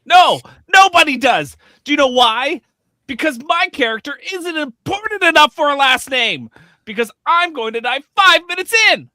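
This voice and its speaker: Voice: sits high, expressive, normal speed, bright, forceful tone, no room sound. A performer playing a panicky, whiny, fatalistic bit-part actor in a comedic live script read. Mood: hysterical. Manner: anxious, whiny, escalating rambling.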